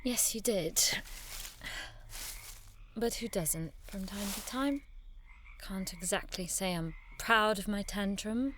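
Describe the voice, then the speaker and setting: A woman's voice: medium pitch, slow, pauses often, a bit bright, slight background noise. A woman acting in an audio drama as a witty young noblewoman knight with an arch, teasing, theatrical manner.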